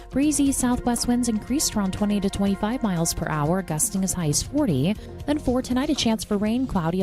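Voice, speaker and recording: A woman meteorologist delivering a quick scripted forecast. Her voice is mellow and matter-of-fact, with faint music underneath. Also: mid-range voice. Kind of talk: scripted weather report.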